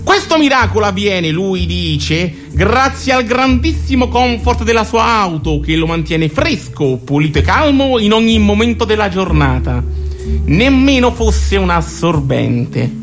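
A mocking storyteller in a comic radio play. The delivery is theatrical and emphatic, with pitch that swings widely. Music plays clearly behind the voice. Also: sing-song, unhurried. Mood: mock-elegant, ironic.

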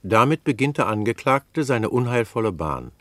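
An actor playing an ominous court chronicler, narrating dramatically in a radio drama. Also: male voice, medium pitch, very animated, moderate pace, a bit bright, no room sound.

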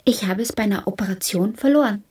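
A woman acts out an estranged, stubborn character in a radio play. Her voice is soft, dark-toned and breathy, in a dry, close studio sound. She makes plain, deliberate statements with an earnest, detached air. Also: medium pitch, no room sound, natural voice. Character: detached, stubborn woman applicant who lost her sense of self. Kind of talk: radio drama acting.

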